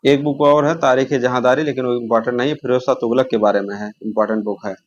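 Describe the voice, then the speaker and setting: A man's voice: mid-range voice, fairly even intonation, fast talker, muffled recording. A man teaching an exam lesson, posing questions, answering them himself and repeating key terms.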